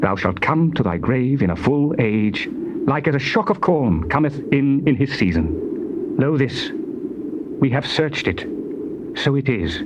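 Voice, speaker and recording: An actor playing a solemn, admonishing friend in a dramatized Bible reading, theatrical and measured in delivery. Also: male voice, medium pitch, expressive, natural voice. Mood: exhorting. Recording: muffled, noisy old cassette tape.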